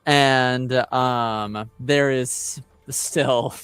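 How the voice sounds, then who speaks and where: Casting: male game master, slow, halting, casual delivery, mid-range voice, faint music behind. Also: medium pitch, steady tone, a bit bright, smooth, no room sound. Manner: casual, halting, searching for words. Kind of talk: improvised tabletop game narration.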